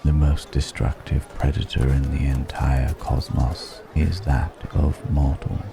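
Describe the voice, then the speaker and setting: A man voicing a very deep, brooding ancient dragon. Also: flat delivery, creaky, background noise, full character voice. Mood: quietly reproachful. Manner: deliberate, pensive, with long dramatic pauses. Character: mysterious ancient male dragon, brooding and philosophical, disguised as stranger. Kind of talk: ASMR roleplay, slow intimate dramatic monologue.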